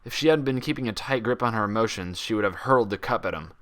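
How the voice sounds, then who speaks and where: A man's voice: on the low side, quick pace, a bit bright. A man narrating a scripted fan fiction story in a dramatic reading style.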